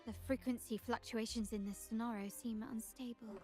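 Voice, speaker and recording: A poised young noblewoman voiced by a woman speaks with composure and throws out theatrical lines in combat. Her voice sits on the high side, with game music clearly audible beneath it. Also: steady tone, a little fast, a little mellow, lightly acted. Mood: thoughtful. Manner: composed, theatrical battle cries. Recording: game audio with music behind.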